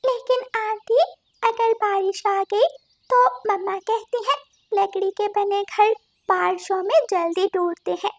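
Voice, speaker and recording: A woman's voice: very high voice, fairly even intonation, unhurried, no room sound, studio quality, voice pushed up. A woman voicing a small, curious girl sparrow in a cartoon, childlike and plaintive. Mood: earnest. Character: sweet little girl sparrow, curious and worried daughter.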